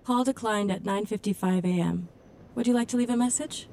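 A woman voicing a female AI assistant built into an ear implant, with an even, slightly processed tone. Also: medium pitch, brisk, slight background noise. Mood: neutral.

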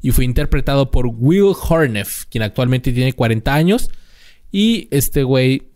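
A man's voice: mid-range voice, animated, unhurried, darkish tone. A young man with a Mexican Spanish accent co-hosting a casual chat, reading facts aloud between laid-back, slangy banter. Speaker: podcast co-host.

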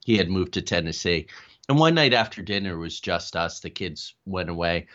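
A man's voice: low voice, animated, normal speed, a bit bright. A man speaking as an interview guest, telling personal stories in a conversational way. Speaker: guest, paranormal investigator. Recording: dull lo-fi call audio.

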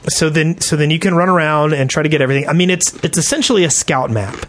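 A man hosting a gaming podcast, telling stories casually in an animated, enthusiastic way with slangy phrasing. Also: fairly high pitch, fast talker, a little hiss or hum. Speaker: podcast host.

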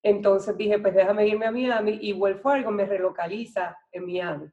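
A woman's voice: mid-range voice, steady tone, normal speed, phone-quality sound. A middle-aged woman with a Puerto Rican accent telling her story conversationally as a podcast guest, chatty and mixing in English business terms. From Puerto Rico. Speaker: podcast guest, real estate and banking professional.

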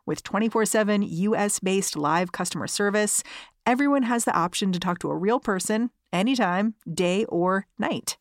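A woman's voice: medium pitch, normal speed, no echo, clean studio sound. A woman announcer giving an upbeat, polished ad read. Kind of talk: scripted ad read.

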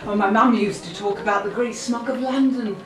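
A woman's voice: mid-range voice, fairly even intonation, brisk, natural voice. Woman acting an anxious seventy-year-old, roomy distant sound. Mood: reflective, sombre. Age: 70s. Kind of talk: audio play acting. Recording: roomy, distant, faint background noise.

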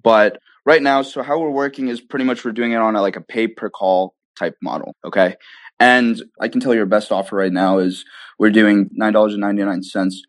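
A man's voice: on the low side, steady tone, fairly quick, dry recording. A young man answering interview questions casually and enthusiastically, often checking in with "right?" and "you know". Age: young adult. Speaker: interview guest, tech startup founder.

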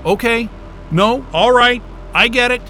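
A man's voice: very high voice, unhurried, pushed and bright, faint music behind, full character voice. An actor playing an exasperated, foul-mouthed male astrophysicist in an audio drama, irritable and sarcastic. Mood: rattled, irritated.

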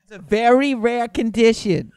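A man's voice: very high voice, normal speed, darkish tone, smooth, dry recording, clean studio sound. A man bantering casually as a co-host of a prank-call podcast, in a jokey way. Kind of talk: casual chat and prank calling.

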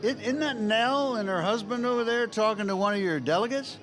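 An actor playing an easygoing, hapless, oddball Vice President in an audio comedy. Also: male voice, sits high, normal speed, close to an everyday voice. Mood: amused.

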